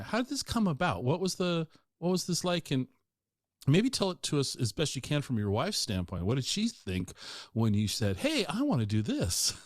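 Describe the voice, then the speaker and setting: A man's voice: on the high side, dry recording, clean studio sound. Older man host, meandering questions. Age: 60s. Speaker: podcast host. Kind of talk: casual interview conversation.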